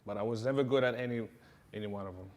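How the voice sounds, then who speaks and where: A low-pitched man answers questions casually. He speaks in a relaxed way, full of fillers and quick repeated yeahs. He sounds like a martial arts instructor chatting about his life. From Sweden.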